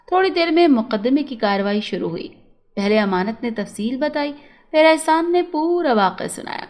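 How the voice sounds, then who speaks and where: A woman storyteller narrating a scripted moral tale. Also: on the high side.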